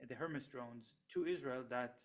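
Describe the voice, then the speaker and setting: A middle-aged man whose first language is Hebrew, a researcher explaining his work in an interview with steady, hesitant pacing and frequent pauses and restarts. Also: medium pitch. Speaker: economist and researcher, interview guest.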